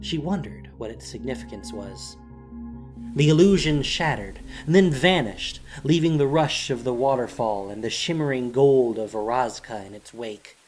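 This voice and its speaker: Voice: mid-range voice, expressive, normal speed, darkish tone. Story narrator for an audio drama, scripted dramatic read, some music underneath.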